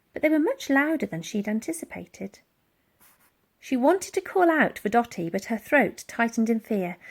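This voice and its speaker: A woman reading a children's storybook aloud as an expressive, dramatic narrator who acts out the sound words. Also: medium pitch. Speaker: audiobook reader.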